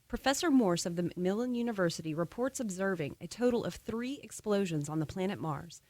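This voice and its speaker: A woman's voice: medium pitch, expressive, a little fast, mellow, dry recording, natural voice. A woman playing a formal, factual newsreader delivering a bulletin in a 1930s style radio drama. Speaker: news bulletin reader.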